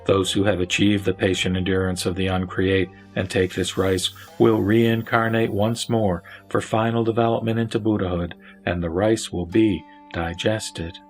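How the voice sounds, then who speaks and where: A man reciting scripted scripture narration in a measured, solemn manner. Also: low-pitched, flat delivery. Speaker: narrator and reciter of a Buddhist sutra. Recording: background music under the voice. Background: instrumental music.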